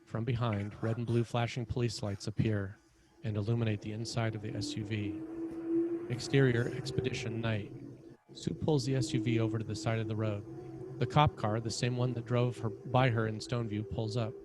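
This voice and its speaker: A man reading screenplay action as narrator, in clipped, dramatic, measured phrases. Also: lowish voice, flat delivery, noisy background. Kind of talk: scripted screenplay narration, present tense.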